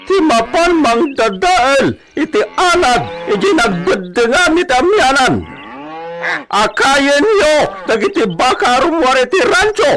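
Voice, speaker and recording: Cackling, very high-pitched bandit boss. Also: male voice, big pitch swings, unhurried, over loud music, full character voice, low-quality recording. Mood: scheming. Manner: drawling commands with frequent cackling. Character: gloating male bandit boss, sly and menacing. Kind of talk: radio drama acting.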